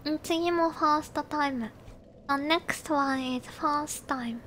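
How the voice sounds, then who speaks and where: Very high-pitched Japanese woman streamer. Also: moderate pace, fairly bright tone, a little hiss or hum. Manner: polite, thanks and greets viewers often. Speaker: game streamer. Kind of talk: casual livestream chat while gaming.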